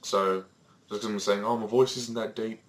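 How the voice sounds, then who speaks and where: Rambling teenage guy, lowish voice. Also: steady tone, normal speed. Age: teens. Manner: swears freely, rambling with many fillers and restarts. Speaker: podcast co-host. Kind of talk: casual chat. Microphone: Skype call recording.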